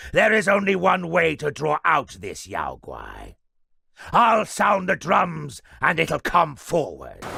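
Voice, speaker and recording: A man's voice: fairly high pitch, creaky, sharp tone, breathy edge, exaggerated voice. A man playing a brash, commanding fighter from a mythic video game, goading and theatrical. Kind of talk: video game character acting.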